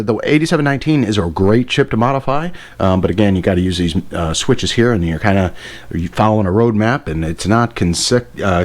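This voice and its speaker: A man with a low-pitched voice presents gear in a casual, hands-on way. He rambles enthusiastically and often corrects himself as he goes, like a hobbyist explaining a favorite piece of equipment. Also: darkish tone. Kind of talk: casual hands-on product demonstration. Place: indoor workbench.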